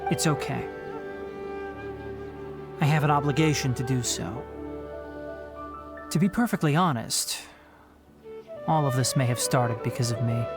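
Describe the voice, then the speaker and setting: A man acting an earnest young male scholar in a video game cutscene, with a mid-pitched voice. He speaks in a measured, polite way and sounds thoughtful and articulate. Clear music plays behind him. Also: lightly acted. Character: earnest, thoughtful young male scholar and forest watcher, loyal to his master.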